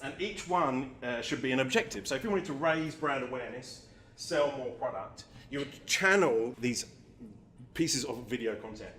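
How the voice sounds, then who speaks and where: A man's voice: mid-range voice, animated, moderate pace, a bit bright. A middle-aged man with an accent that blends English and New Zealand, giving a casual, self-deprecating conference talk to a live audience, with frequent ums. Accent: mix of English and New Zealand. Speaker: marketing agency founder giving a talk. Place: meetup venue with audience.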